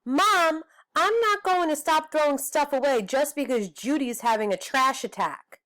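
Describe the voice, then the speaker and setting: Woman doing a cheeky, high-pitched little brother. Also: unhurried, a bit bright. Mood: complaining. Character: teasing, contrary little brother, young boy.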